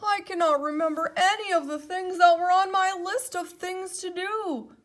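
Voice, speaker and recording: Woman putting on a loud, high, excitable toad. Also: moderate pace. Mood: glum, resigned. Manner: loud, wailing, exclamatory. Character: excitable, anxious, stubborn male toad. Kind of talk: children's storybook character voice.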